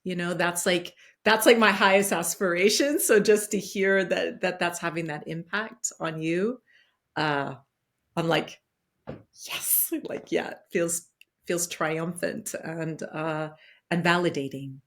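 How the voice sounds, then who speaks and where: Woman guest, low-pitched, reflective conversation, thoughtful and searching. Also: fairly even intonation, slow, a bit bright. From Guatemalan and Danish roots, partly raised in the United States. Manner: thoughtful, searching, many fillers and restarts. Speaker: podcast guest, facilitator.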